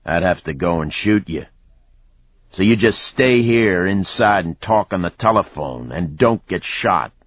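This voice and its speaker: A man voicing a laconic, coolly menacing sidekick in an audiobook, deadpan and folksy. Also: rumbling low, takes it slowly, breathy edge, no room sound, dull audio, exaggerated voice. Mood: cool threat.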